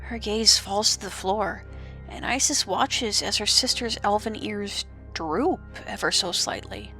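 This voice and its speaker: A woman narrator telling an audio-drama story in an expressive, suspenseful storytelling manner. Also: medium pitch, measured pace. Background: music.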